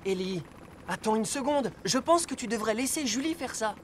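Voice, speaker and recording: Woman as a lively, careful cartoon rescuer. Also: a little high, normal speed, slight background noise, lightly acted. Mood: cautioning. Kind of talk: French children's cartoon dub.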